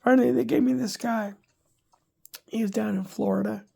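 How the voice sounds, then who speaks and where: Older woman vlogger, congested, gravelly, rambling casual chat. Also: fairly high pitch, fairly even intonation, normal speed, dark tone. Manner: rambling, chatty, wry asides.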